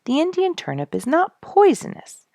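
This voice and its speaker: A woman reading a story aloud as an expressive, deliberate narrator. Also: very high voice, vocal fry, no echo, clean studio sound.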